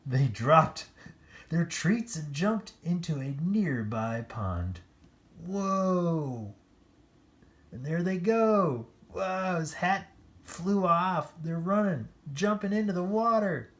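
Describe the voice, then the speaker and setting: A children's picture-book storyteller reads slowly and theatrically. The pitch rises and falls widely, and playful asides break up the narration. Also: lively intonation. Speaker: read-aloud storyteller.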